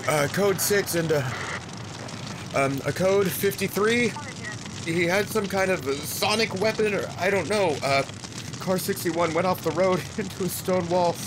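An actor playing a flustered, halting policeman in an audio drama. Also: high voice, noisy background. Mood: flustered, shaken. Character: flustered policeman calling for backup.

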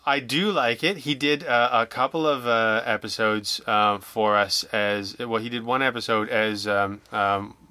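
A man's voice: mid-range voice, fairly even intonation, measured pace, hardly any pauses, sharp tone, no echo. A middle-aged man talking casually as a podcast guest, hesitant and rambling as he works through his thoughts. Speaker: audio drama creator, interview guest.

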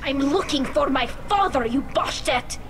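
A woman's voice: high-pitched, expressive, measured pace, over music. An actress voicing an earnest, emotional young female alien in a dramatic video game scene. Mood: angry.

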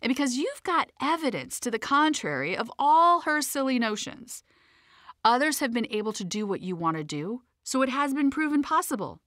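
A woman's voice: high-pitched, sing-song, normal speed, fairly bright tone, dry recording, studio quality. A woman reading her own self-help audiobook in a conversational, emphatic and playfully informal way.

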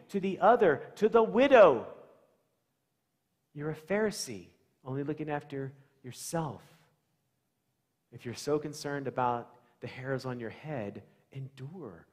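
A middle-aged American man preaching a conversational sermon, with animated storytelling, rhetorical questions and dramatic pauses. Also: on the high side. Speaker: pastor. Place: large church sanctuary, indoors, before a congregation. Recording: reverberant church hall.

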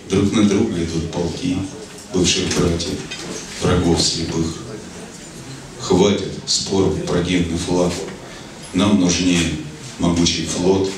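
A middle-aged man performs at a club with a low, measured voice. He speaks into a stage microphone, heard from afar through heavy echo, with clear noise in the recording. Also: distant mic. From Soviet Union. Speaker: poet and performer at a bard club evening. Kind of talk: stage talk and poetry recitation. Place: small club stage, indoors. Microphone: handheld stage microphone. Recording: echoey club PA from afar, noisy.

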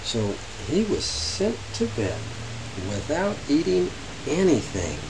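A man reading a children's picture book aloud with dramatic, drawn-out phrasing. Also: a little high, wildly swooping pitch. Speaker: storybook reader. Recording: hissy, noisy home recording.

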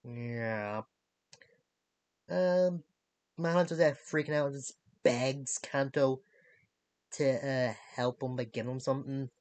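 A young adult man giving a casual recap in a halting, disjointed way, searching for words. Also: medium pitch, a little mellow, no room sound. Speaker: reviewer of animated series. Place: small cluttered bedroom, indoors.

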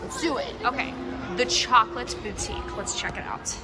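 A young woman chatting bubbly and fast to her handheld camera while shopping in a mall and candy store. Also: on the high side, over loud music. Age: young adult. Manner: bubbly, chatty, quick gasps and laughs. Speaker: vlogger. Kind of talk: casual shopping vlog. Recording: noisy mall ambience.

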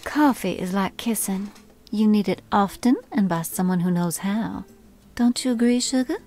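A woman's voice: medium pitch, normal speed, mellow, close to an everyday voice. A woman voicing a flirtatious belle in a video game, calling everyone sugar and darling. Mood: flirty. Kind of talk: video game character dialogue.

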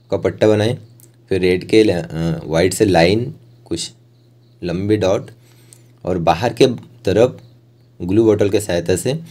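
A young man hosts an art tutorial in a lowish, very mellow voice. He talks through each step in a plain, explanatory way. Now and then he pauses mid-sentence to find the next word. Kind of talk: step-by-step instructional voice-over.

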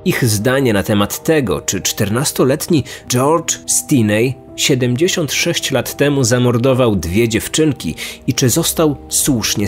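Male true-crime podcast host over music. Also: mid-range voice. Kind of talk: scripted true-crime narration. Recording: music under the voice. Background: background music.

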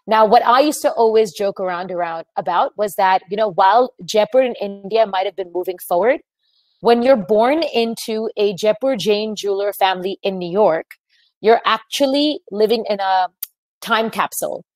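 An American woman in her thirties tells her story as a webinar speaker in an earnest, reflective way, with frequent "um"s and "uh"s. Her voice sits at a middle pitch and comes through a computer mic on a video call. The sound is dry and close. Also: moderate pace, no echo, low-quality recording. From United States. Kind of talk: presenting a webinar talk.

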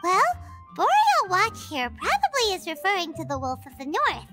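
Casting: excitable childlike fairy sidekick for a game, very high-pitched, hugely lively swings, squeaky exclamations. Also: female voice, normal speed, over loud music. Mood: chatty, helpful. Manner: refers to self in third person, exclaiming. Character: small childlike floating fairy companion girl, chirpy and excitable. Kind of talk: video game character dialogue.

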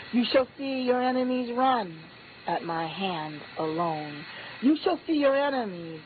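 A woman delivering a prophetic word in the voice of God, in a solemn, repetitive declaring cadence that lifts on key phrases. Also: mid-range voice. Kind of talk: prophetic utterance spoken as God. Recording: tinny phone-like line with faint hiss.

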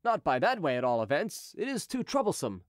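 A man voicing a courteous, persuasive gentleman fugitive who talks evasively, as in an audiobook. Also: fairly high pitch, sing-song, fairly quick, no room sound, studio quality. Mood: brisk, polite. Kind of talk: audiobook character dialogue.

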